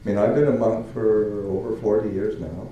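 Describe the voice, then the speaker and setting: Older monk from Canada, low voice, halting delivery full of fillers, echoey noisy room. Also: male voice, fairly even intonation, highs cut off. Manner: halting, many fillers and restarts, chuckles. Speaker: Buddhist monk. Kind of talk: dharma talk.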